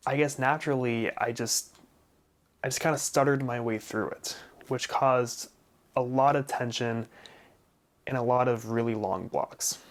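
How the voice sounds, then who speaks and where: A young man with a noticeable stutter talks at a slow, deliberate rate. He repeats first sounds, hits occasional blocks and stretches words smoothly. His delivery is explanatory and personal, at an average pitch. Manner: deliberate, smoothly prolonged speech between stutters. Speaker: vlogger sharing personal experience. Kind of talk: explanatory personal talk to camera.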